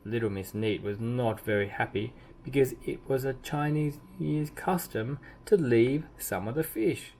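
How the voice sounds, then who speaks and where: A man reading a children's story aloud expressively, with deliberate emphasis and drawn-out key words. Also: mid-range voice, darkish tone. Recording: clearly audible background noise.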